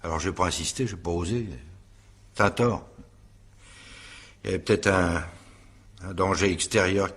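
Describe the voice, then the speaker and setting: A man's voice: low voice, steady tone, normal speed, long pauses, fairly bright tone, breathy edge, exaggerated voice. A male actor playing a nervous, evasive widower in a radio drama, hesitant, stammering and defensive as he is questioned. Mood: uneasy. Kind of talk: French radio drama acting, naturalistic.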